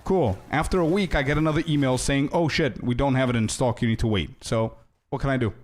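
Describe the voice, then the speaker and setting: A young male game streamer talking casually to his chat. He is loose and chatty, drifts into side stories, and calls viewers "bro". His voice sits at an ordinary middle pitch. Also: a little fast.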